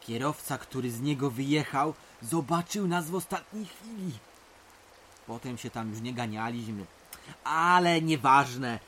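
An actor playing an older village uncle telling folksy, dramatic memories and ghost tales in an audio drama. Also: male voice, medium pitch, a bit bright, slight background noise. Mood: reminiscing. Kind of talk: audio drama acting, reminiscing narration.

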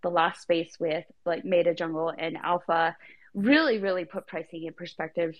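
Chatty woman, fairly low voice, lots of "like", relaxed conversational. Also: normal speed, lo-fi sound. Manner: chatty, frequent "like". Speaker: photographer guest. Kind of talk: casual live audio chat.

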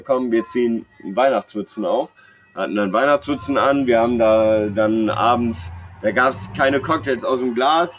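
A young man chats casually as a podcast co-host, telling personal anecdotes in a slangy, rambling, jokey way. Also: mid-range voice. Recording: clear background noise.

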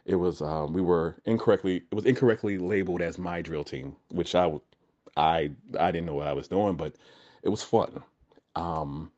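Man, very low voice, hesitant storytelling, many ums, dull lo-fi recording. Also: darkish tone. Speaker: guest. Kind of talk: casual personal storytelling.

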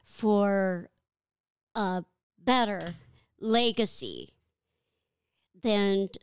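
A middle-aged woman, a guest on a podcast, speaking slowly and haltingly with deep feeling. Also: smooth. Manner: slow, halting, heartfelt. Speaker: guest, donor mother. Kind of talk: casual interview talk.

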